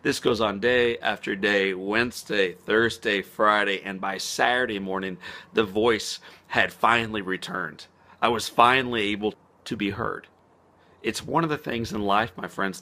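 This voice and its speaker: A man speaking as a motivational speaker in an inspirational vlog. He talks earnestly and slows down to stress key words. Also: lowish voice, pushed and bright. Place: outdoors on a spring morning.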